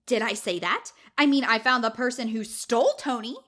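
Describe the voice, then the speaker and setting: A woman's voice: on the high side, normal speed, a bit bright, natural voice. A woman playing a brash, self-important young amateur video detective in an audio drama, bragging and accusing theatrically. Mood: smug, accusing.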